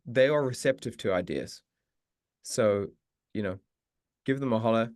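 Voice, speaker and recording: A man's voice: on the low side, a little slow, a little mellow, dry recording. Male host, live-stream Q and A, encouraging and chatty, dry close sound. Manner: chatty and encouraging, restarts often. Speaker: meditation teacher hosting a live Q and A. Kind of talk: casual live-stream chat answering questions.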